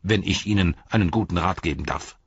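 A man's voice: low-pitched, fairly quick, gravelly, breathy edge, no room sound, dull audio, pitched above the performer's own voice, exaggerated voice. A man voicing a pompous, officious male hobbit police captain who lectures in stiff, bureaucratic phrases.

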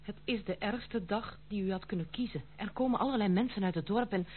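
An actress playing a dutiful, stern but kind granddaughter in an old Dutch radio play, speaking formally and gravely. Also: female voice, medium pitch, brisk, natural voice. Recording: muffled phone-like old radio recording, faint hum.

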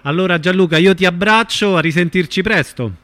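A male radio host with a voice a bit higher than most men's. He interviews in a conversational, friendly and informal manner. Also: a little fast, fairly bright tone, no room sound. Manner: informal, friendly, frequent backchannel agreement.

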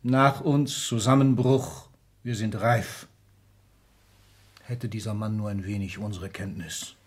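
An actor playing an ailing old industrialist patriarch in a German radio drama, husky, declamatory and commanding. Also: male voice, lowish voice, steady tone, slow. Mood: rising indignation.

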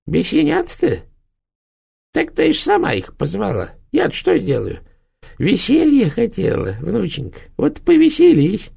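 A man's voice: high voice, wildly swooping pitch, a little slow, creaky, a little mellow, breathy edge, voice pushed up, heavily acted. A man voicing a dead, rotting old witch grandmother who sneers and scolds her granddaughter in a cracked, rattling voice. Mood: gloating. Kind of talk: audiobook narration.

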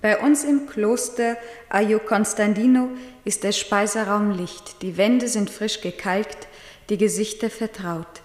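A woman's voice: mid-range voice, measured pace, darkish tone, close to an everyday voice. An actress portrays a young peasant-born nun in a radio play. She is timid and earnest, with an inward, lyrical delivery. The voice echoes with long reverb, as in a stone hall. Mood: awed, uneasy. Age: young adult. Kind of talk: German radio play acting.

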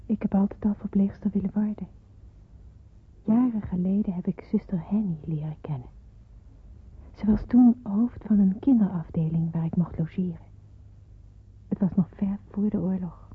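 An actress playing a grave young woman narrating a radio drama in the first person, hushed and measured. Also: medium pitch. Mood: earnest, determined. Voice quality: very breathy, hushed. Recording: muffled phone-like old radio recording.

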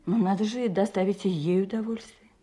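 An actress playing a patient, gentle mother coaxing her young son in a radio play. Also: medium pitch, steady tone, brisk, husky, lightly acted. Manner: patient, persuading. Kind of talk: 1970s Soviet radio play acting.

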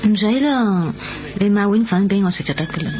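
Actress as wistful young patient over music. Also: female voice, medium pitch, animated, fairly quick, a little mellow, lightly acted. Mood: subdued. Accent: Hong Kong Cantonese. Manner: subdued, reflective. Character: wistful young female heart-transplant patient. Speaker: actor. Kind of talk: radio drama dialogue and inner monologue.

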